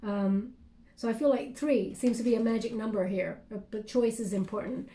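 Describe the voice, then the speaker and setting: A woman's voice: on the high side, moderate pace, warm tone, echoey room. A woman giving a chatty tarot card reading at a table indoors, her voice scratchy from illness, often adding "okay?". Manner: chatty, reassuring, tag questions like okay. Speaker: tarot reader.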